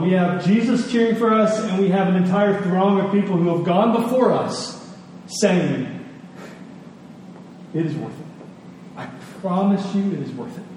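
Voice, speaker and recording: A man preaching an expository sermon, earnest and emphatic, with dramatic pauses and repetition. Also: high-pitched, dark tone. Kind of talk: expository sermon with scripture reading. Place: large reverberant indoor hall. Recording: distant, echoey large hall, faint noise.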